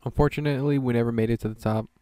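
A man's voice: medium pitch, fairly even intonation, rapid-fire, dark tone, clear, resonant tone, no echo. A young adult man giving scripted voice-over narration as a travel vlogger.

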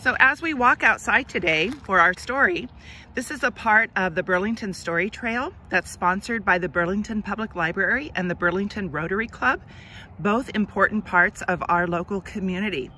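A woman hosting an outdoor read-aloud for children, speaking to them with deliberate, expressive enunciation. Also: medium pitch. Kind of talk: children's story read-aloud and friendly outdoor talk to kids. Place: outdoors on a grassy trail. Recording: outdoor ambient noise.

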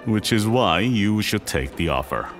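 Dignified older male tycoon, deep voice, formal, measured, gracious, podcast drama, light music underneath. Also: lively intonation. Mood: matter-of-fact. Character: dignified, wealthy older Korean patriarch and businessman. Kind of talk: romcom podcast audio drama acting.

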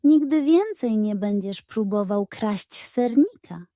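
A woman's voice: mid-range voice, expressive, takes it slowly, dark tone, dry recording. A woman voicing a lazy, amused tomcat who teases wryly in a children's story. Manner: drawling, wry. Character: lazy, amused, teasing male cat.

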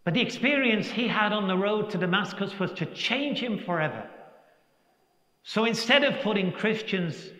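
An older man, a priest giving a Sunday homily in a large church, preaching slowly and reflectively with emphatic pauses. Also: high-pitched, animated, sharp tone. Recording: echoey church with long reverb.

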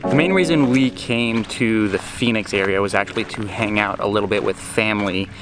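A man's voice: on the low side, a little fast, loud music behind the voice, rough recording. A man in his forties hosting a casual travel vlog, talking to a camera held at arm's length outdoors. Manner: relaxed, chatty, explains details to camera. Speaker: vlog host. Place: outdoors on a desert trail.

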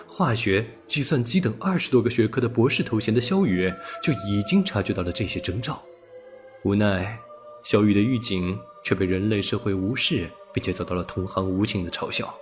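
A man narrating a scripted audiobook as a storyteller. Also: fairly low pitch.

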